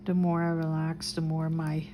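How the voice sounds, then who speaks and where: A woman with a light Dutch accent, calmly talking through each step as she draws and colors a mandala. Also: low-pitched, moderate pace, noisy background. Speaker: craft video host. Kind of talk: relaxed craft tutorial narration.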